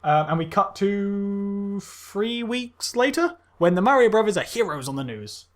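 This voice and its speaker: A man's voice: high voice, sing-song, unhurried, soft background music. A man co-hosting a podcast in casual film critique banter, hesitant and often repeating his phrases. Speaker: podcast co-host.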